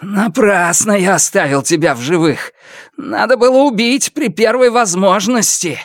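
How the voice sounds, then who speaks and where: A man voicing a frantic, wounded villain in an audiobook, hoarse and breathless, with a taunting, raised voice. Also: very high-pitched, very animated, a little slow, no echo, voice pushed up, heavily acted. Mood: accusing. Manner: shouting and taunting. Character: frantic wounded male villain, gloating and enraged.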